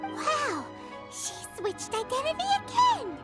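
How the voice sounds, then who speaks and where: Video game sidekick: tiny, eager, childlike creature, extremely high squeaky voice, unhurried, over prominent music. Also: female voice, wildly swooping pitch, full character voice. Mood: amazed. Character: small childlike floating companion, eager, food-loving, easily amazed. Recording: music playing behind the voice. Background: prominent playful game music.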